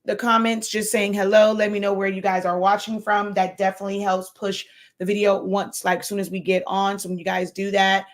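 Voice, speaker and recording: A woman hosting a casual livestream chat, hoarse from losing her voice, greeting viewers with lots of laughs and fillers. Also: medium pitch, level tone, fairly quick. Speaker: host.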